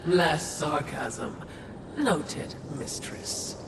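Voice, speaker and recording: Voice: mid-range voice, big pitch swings, drawn-out words, creaky, echoey room, exaggerated voice. An actor voicing a sardonic, deferential spirit companion in a video game, with a dry, sarcastic manner. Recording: loud background noise.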